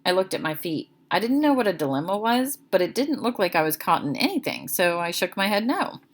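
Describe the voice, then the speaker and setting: A woman's voice: medium pitch, very animated, fairly quick. A woman reading a children's chapter book aloud as narrator, expressively.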